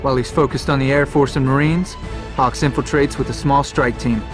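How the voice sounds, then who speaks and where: An actor playing a determined young fighter pilot hero in a video game, earnest and resolute, recorded dry over music. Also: male voice, mid-range voice, steady tone, fairly quick, close to an everyday voice. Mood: urgent, persuasive. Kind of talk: video game cutscene acting and dramatic monologue.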